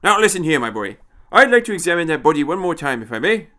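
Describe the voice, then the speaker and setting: High tremulous old man, imperious, impish, fussy eccentric, swooping pitch, audio story. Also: big pitch swings, fast, bright, forceful tone. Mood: curious. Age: older. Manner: haughty, fussy, hesitant turns of phrase.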